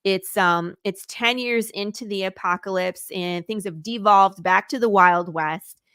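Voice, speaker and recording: A woman's voice: mid-range voice, measured pace, a bit bright, clean tone, no room sound, clean studio sound. A woman from Michigan with a Midwestern accent, chatting eagerly as a guest and laughing often. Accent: Midwestern American. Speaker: comic creator guest. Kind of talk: casual interview chat.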